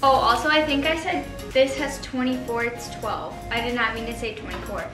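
A teenage girl with a high voice talks through her baking steps in a chatty, easygoing way. She is recorded by a camera some distance away in a roomy home kitchen, with music playing underneath. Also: normal speed. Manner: chatty, explains each step while working. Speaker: baking vlogger. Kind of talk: casual baking tutorial. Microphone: far camera on the counter.

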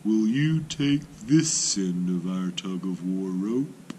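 Guy doing clever lil tortoise from a storybook, super slow n polite, sneaky, stretches words out. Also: deep voice, lively intonation, a little mellow, slight background noise. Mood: sly, polite. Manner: drawn-out, deliberate, courteous delivery.

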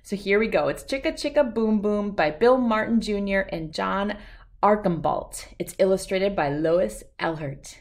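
A woman in her thirties reads aloud for small children. Her voice sits at a middle pitch and she takes her time. The delivery is playful and expressive, with a sing-song rhythm. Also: unhurried. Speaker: children's read-aloud host. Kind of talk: animated picture-book read-aloud for young children.

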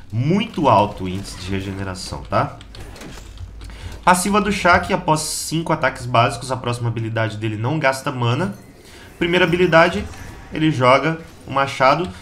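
A slightly hoarse Brazilian man giving a casual, slangy gameplay guide as host of a gaming channel. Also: medium pitch, fairly bright tone. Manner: slangy, informal, chatty. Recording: keyboard clatter and background noise.

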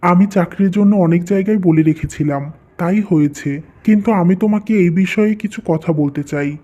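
An actor plays a humble young man in a cartoon family tale. He is a dutiful husband and brother with a mellow, soft-toned voice and a gentle manner. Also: sits high, normal speed, muffled recording. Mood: earnest. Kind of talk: Bengali cartoon story voice acting.

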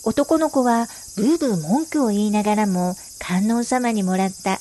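A woman's voice: mid-range voice, moderate pace, mellow, a little hiss or hum. A woman giving scripted narration for an educational storybook, with music behind her. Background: background music.

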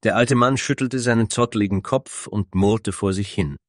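Deep-voiced man narrating a story. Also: normal speed, no room sound, clean studio sound. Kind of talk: scripted fantasy story narration.

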